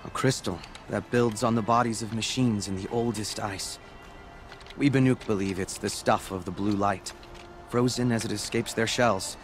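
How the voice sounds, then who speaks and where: An actor playing a humble, earnest apprentice shaman in a video game, speaking in a measured, solemn way. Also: lowish voice, steady tone, faint music behind, lightly acted. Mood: explaining. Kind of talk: video game dialogue acting.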